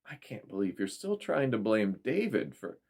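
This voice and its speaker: A man's voice: lowish voice, big pitch swings, moderate pace, darkish tone. A man voicing a chatty, fussing middle-aged mother full of questions in a story reading.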